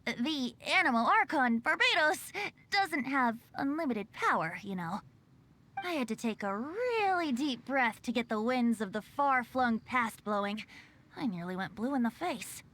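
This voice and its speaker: A woman's voice: a little high, big pitch swings, measured pace, a bit bright, a little hiss or hum. A woman voicing a cheerful, mischievous young male bard with a breezy, playful manner in a video game.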